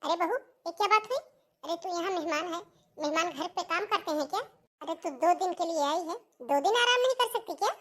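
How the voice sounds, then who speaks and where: A woman voicing a bossy, taunting older mother-in-law in a cartoon family drama, with a sharp, nagging manner. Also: very high-pitched, expressive, a little fast. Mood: insistent.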